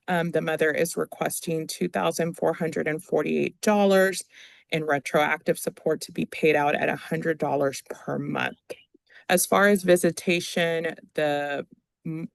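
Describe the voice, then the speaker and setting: An attorney speaking formally in a courtroom, reading out requests and questioning a witness in a halting, procedural way. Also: mid-range voice, vocal fry, dry recording.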